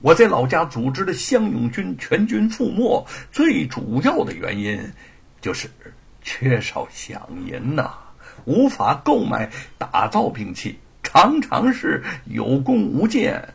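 Man voicing a fiery old militiaman, husky and gravelly. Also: fairly high pitch, huge pitch swings, slow, full character voice. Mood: resolute. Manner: impassioned, emphatic. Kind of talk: dramatised Chinese historical storytelling.

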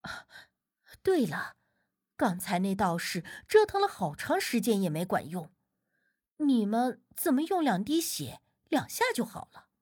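A woman's voice: mid-range voice, sing-song, a little slow, darkish tone, husky, no room sound, studio quality, pitched below the performer's own voice. A woman voicing a doting, easily flustered grandmother in an audiobook, with gushing, exclamatory delivery. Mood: puzzled.

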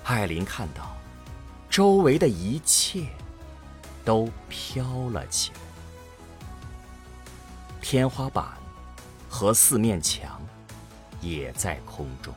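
A man's voice: lowish voice, sing-song, slow pace, long pauses, soft background music. A man narrating an audio drama from a script in a grave, dramatic manner.

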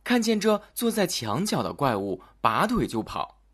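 A storyteller narrating a scripted fairy tale for children in an expressive, dramatic manner. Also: mid-range voice, normal speed, slightly rough.

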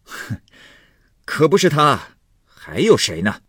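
A man's voice: deep voice, sing-song, normal speed, a bit bright, husky, no room sound, clean studio sound, exaggerated voice. A man playing a hot-tempered, sneering husband who blusters, snorts and grumbles sarcastically in a storybook reading. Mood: bitter grumbling.

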